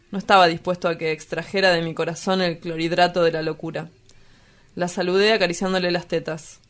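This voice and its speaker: A woman's voice: fairly low pitch, lightly acted. Woman acting brooding, blunt Argentine night-dweller. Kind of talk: radio drama acting.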